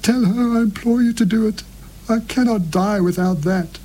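A man's voice: high-pitched, moderate pace, warm tone, a little hiss or hum, voice pushed up, close to an everyday voice. A man reading aloud as a frightened, dying elderly woman who confesses in broken, pleading phrases. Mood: fearful pleading.

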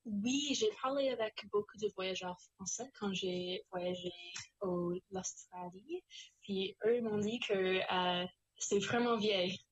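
A woman's voice: mid-range voice, lively intonation, takes it slowly, slightly rough, lo-fi sound. A young woman from New Brunswick with an Acadian accent, chatting as an interview guest in a giggly, hesitant way, searching for words. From New Brunswick, Canada. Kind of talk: casual chat.

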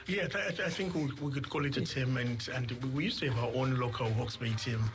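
Earnest man, halting speech. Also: mid-range voice, noisy background. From Zimbabwe. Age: middle-aged. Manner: earnest, halting, repeats words while thinking. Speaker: radio guest. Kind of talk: radio interview.

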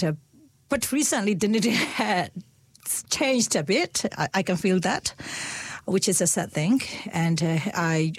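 A middle-aged woman from Myanmar with a Burmese accent, talking in a halting way as a guest in a casual conversation, often repeating her words and laughing softly. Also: lowish voice, no echo.

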